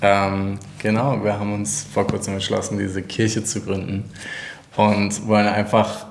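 Gravelly young man from Hamburg, echoey room. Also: fairly low pitch, monotone, measured pace, a little hiss or hum. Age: 20s. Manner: friendly, laughs a lot, asks guiding questions. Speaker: church co-founder. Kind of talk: casual podcast chat. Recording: roomy echo.

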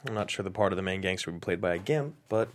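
A man's voice: deep voice, lively intonation, racing, a little hiss or hum. A man playing a terse, subdued actor in his mid-thirties at a script table read. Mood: resigned.

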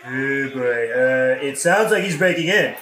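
A man playing a smooth-talking, scheming king in a satirical audio play, with a glib modern delivery. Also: mid-range voice, animated, a little slow, fairly bright tone, a faint crowd, a little hiss or hum. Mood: scheming, exasperated. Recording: roomy, echoing audio-play recording.

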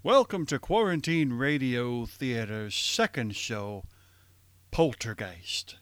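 A man's voice: a little high, fairly bright tone, dry recording. A man giving a dramatic, scripted radio introduction as announcer, in a theatrical, ominous manner.

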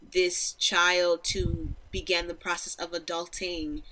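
A young woman of Nigerian background co-hosting a podcast, speaking casually and thoughtfully in a deep voice. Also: takes it slowly, slight background noise, rough recording. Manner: reflective, animated laughter. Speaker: podcast co-host. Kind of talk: casual chat.

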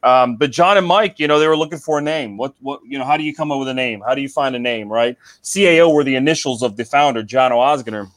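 Relaxed man from Ohio telling stories. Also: medium pitch, quick pace, few pauses, dry recording. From Cincinnati, Ohio, US. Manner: relaxed storyteller, says "man" often. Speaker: cigar company guest. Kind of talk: casual podcast chat.

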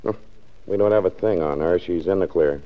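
An actor playing a brisk, skeptical, emphatic district attorney in a 1940s radio drama. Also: male voice, deep voice. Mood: matter-of-fact. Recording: muffled 1940s radio recording.

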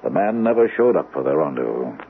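An actor playing a suave, probing doctor with veiled menace in a radio mystery, speaking in a measured, insinuating way with deliberate pauses. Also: male voice, extremely low pitch, sing-song, creaky, breathy edge, heavily acted. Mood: grave, veiled. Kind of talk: old-time radio mystery acting. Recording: tinny band-limited vintage radio, faint hiss.

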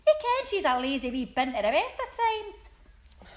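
A woman doing a pushy, very high-pitched Scottish pal. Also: sing-song, a little slow. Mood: coaxing. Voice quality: pushy, coaxing.

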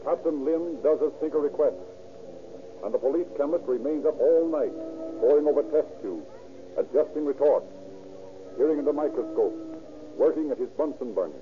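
A man's voice: on the high side, moderate pace, long pauses, close to an everyday voice. Music plays behind a man acting as a dramatic narrator. He tells a crime story in scripted 1930s radio style on a muffled old recording. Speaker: announcer-narrator.

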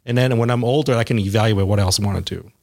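A man in his forties, talking through his career in a casual interview, halting with fillers and restarts. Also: fairly low pitch, gravelly, a little mellow. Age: 40s.

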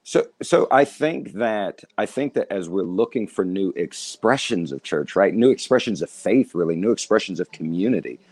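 A man's voice: deep voice, expressive, normal speed, few pauses, darkish tone, no echo. A man in his thirties, a guest in a casual discussion, speaking with an emphatic, preacherly cadence. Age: 30s.

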